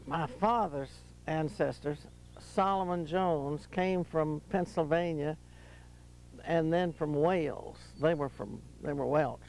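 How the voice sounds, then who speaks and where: Very slow-speaking woman in her nineties. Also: deep voice, darkish tone, slight background noise, poor audio. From Western North Carolina. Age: 90s. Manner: halting, thoughtful recollection. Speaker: interviewee.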